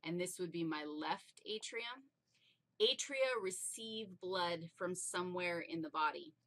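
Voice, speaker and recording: Bright, emphatic woman teaching casually. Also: fairly low pitch, measured pace. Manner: animated, playful, emphatic. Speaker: anatomy teacher. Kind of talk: informal teaching explanation.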